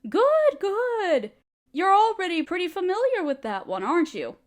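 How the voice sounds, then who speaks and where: A woman playing a motherly spider queen in a roleplay audio, speaking tenderly and patiently with gentle teasing and formal wording. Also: very high voice, very animated, moderate pace. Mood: gentle teaching. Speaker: mother figure teaching a language. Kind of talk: roleplay audio, softly acted monologue.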